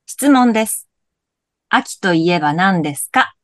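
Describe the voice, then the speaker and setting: A woman's voice: mid-range voice, lively intonation, unhurried, a bit bright, no room sound, clean studio sound. An actress in a radio drama playing a cheerful young woman who keeps pressing her friends to join a party. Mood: eager. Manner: insistent, cheerful, persuasive. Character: eager young woman planning a party, pushy.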